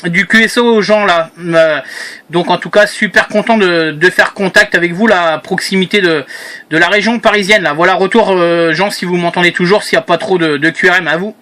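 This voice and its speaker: A man's voice: high voice, steady tone, fairly quick, sharp tone. A man chatting casually as a radio operator, rambling and repeating himself with trailing hesitations. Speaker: amateur radio operator and video maker. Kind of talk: casual radio contact chat.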